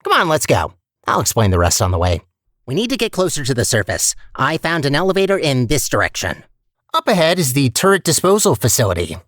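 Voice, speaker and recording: A man's voice: mid-range voice, no echo, studio quality. A man voicing a chatty, scheming robot core in a video game, nervously upbeat and sardonic, with a wry, rambling manner. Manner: rambling, wry, self-interrupting. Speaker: game character guide.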